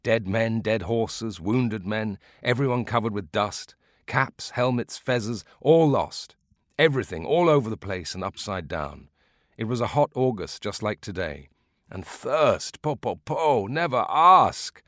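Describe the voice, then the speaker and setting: A man's voice: on the low side, expressive, a bit bright, no room sound, studio quality, voice pushed up. Guy doing an old war veteran from a storybook, voice pushed up, spins out his tale, rambly and dramatic. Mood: excited reminiscence. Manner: dramatic, drawn-out storytelling with pauses and lists. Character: old Greek war veteran beekeeper, maddeningly digressive storyteller.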